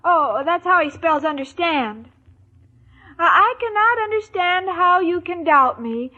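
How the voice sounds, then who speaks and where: Very high-pitched, sweet scatterbrained girl. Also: expressive, measured pace, sharp tone, full character voice. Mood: naive, lovesick. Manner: naive, earnest, muddles her words. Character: sweet, scatterbrained, lovestruck young woman. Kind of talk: 1940s radio sitcom acting. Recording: dull old radio recording, studio audience.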